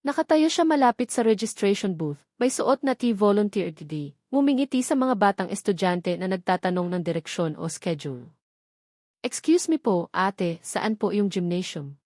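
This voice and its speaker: A woman narrating a scripted love story in a sentimental, measured way with dramatic pauses, switching between Tagalog and English. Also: mid-range voice, darkish tone, no echo, clean studio sound.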